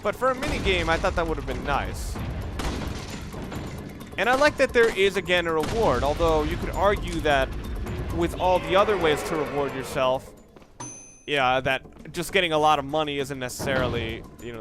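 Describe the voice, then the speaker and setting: Guy with a kinda high voice doing a casual lets play, rambles and rewords stuff, really loud game music and gunfire behind him. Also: lively intonation, normal speed. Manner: rambling, often rephrasing himself mid-sentence. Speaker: let's play commentator. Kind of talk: casual off-the-cuff game commentary. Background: video game music and gunshots.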